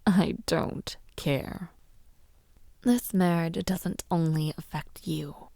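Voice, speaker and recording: A woman playing a haughty young villainess princess in an audio roleplay, mockingly sweet and menacing, teasing and condescending in a breathy near-whisper. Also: sits low, no echo, studio quality, exaggerated voice. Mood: condescending, playful. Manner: slow, teasing, condescending, with soft taunts. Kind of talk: audio roleplay addressed to the listener.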